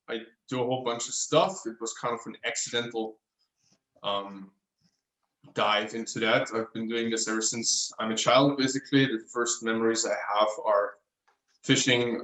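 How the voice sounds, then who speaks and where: An Austrian man in his thirties speaks English with his German-accented lilt, pausing thoughtfully to find the right words. His voice is mid-pitched. It rings in a roomy, echoey room at home. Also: a bit bright. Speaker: guest craftsman. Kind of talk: casual interview chat.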